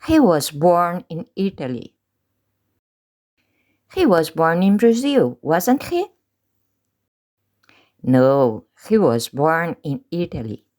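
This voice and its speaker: A woman teaching English through slow, deliberate pronunciation drills, pausing between short phrases. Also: on the low side, very animated, a little mellow. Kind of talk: slow drilled language lesson.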